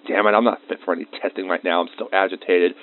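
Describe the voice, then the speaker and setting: A man's voice: sits low, steady tone, a little fast, lightly acted. A man playing an easily irritated researcher, dictating lab log entries as scripted narration in an audio drama. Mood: bitter, agitated. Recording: muffled, band-limited close dry recording.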